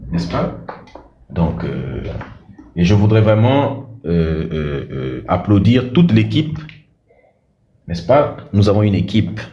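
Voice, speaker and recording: A man's voice: sits low, very animated, slow pace, long pauses, warm tone, roomy sound, lo-fi sound. A man hosting a livestream, talking bluntly and combatively, with frequent hesitations and repeated words. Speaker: livestream host. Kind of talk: livestream monologue and debate.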